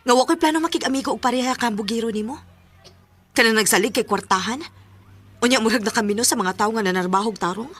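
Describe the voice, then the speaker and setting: Woman doing a proud cebuano girl in a radio play, bright voice, scolds bluntly. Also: on the high side, fast talker. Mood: cold. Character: proud sharp-tongued young woman. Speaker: radio drama actor. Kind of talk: radio drama acting.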